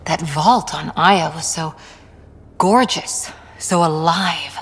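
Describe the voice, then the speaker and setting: A woman's voice: low voice, slow pace, husky, full character voice. A woman playing a confident, earnest commando soldier in a video game, composed and reflective. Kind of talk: video game dialogue acting.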